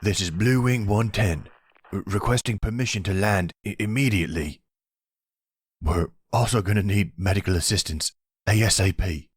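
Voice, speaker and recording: A man's voice: lowish voice, moderate pace, husky, dry recording, studio quality. A man playing a panicky, dim young kidnapper in an audio roleplay, stammering and talking in bro slang. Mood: scared, pleading.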